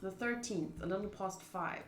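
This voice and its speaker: A woman's voice: mid-range voice, normal speed. A young woman streamer reading a visual novel aloud and chatting informally and expressively with viewers. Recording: roomy echo.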